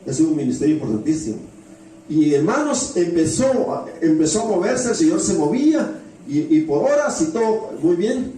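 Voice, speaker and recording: A man preaches in a storytelling sermon style, his voice fairly high and somewhat mellow. He repeats phrases for emphasis and turns to the congregation with questions. The sound is heavily echoing, as in a big hall. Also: very animated, moderate pace. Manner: often addresses the congregation with rhetorical questions. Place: church hall with heavy echo. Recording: very echoey hall, distant mic, faint noise.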